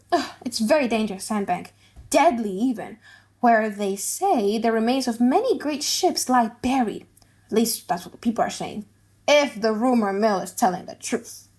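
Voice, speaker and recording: Gossipy, mocking young gentleman, played by a woman, high voice, chatty delivery for audiobook drama. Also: natural voice. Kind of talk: dramatic audiobook reading of a play.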